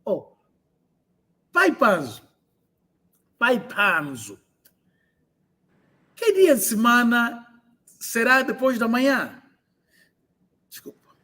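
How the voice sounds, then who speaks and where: A man teaching an online language class, explaining and translating phrases and repeating them slowly for learners. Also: very high voice, sing-song, pushed and bright.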